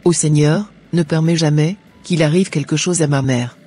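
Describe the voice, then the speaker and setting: Guy doing a earnest churchy daughter, soft dark husky voice, cartoon dialogue, some faint music. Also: on the high side, moderate pace. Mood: pleading prayer. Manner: earnest, prayerful. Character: devout, worried daughter of a sick mother.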